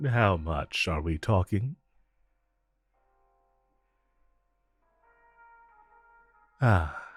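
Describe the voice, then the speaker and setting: A suave male supervillain played by a man flirts in a romantic audio roleplay. His voice is on the low side and moves at a slow, teasing pace, with faint music underneath. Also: darkish tone. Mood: measured, concerned. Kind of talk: romantic audio roleplay acting addressed to the listener.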